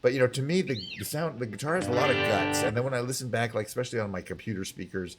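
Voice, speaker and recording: Rambling male streamer over loud guitar. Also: medium pitch, quick pace. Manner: rambling, technical, self-correcting. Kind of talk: singing with guitar, then casual chat. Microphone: headphones and studio mic. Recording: guitar music underneath.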